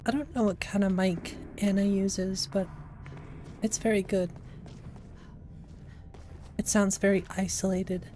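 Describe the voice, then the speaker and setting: A woman streaming a game from home, chatting casually into an XLR mic. Her voice is mellow and level, with little rise and fall, and she tosses off dry, wry asides. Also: mid-range voice, fairly even intonation, a little slow, pauses often, slight background noise. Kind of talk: casual gameplay chat. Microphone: XLR microphone.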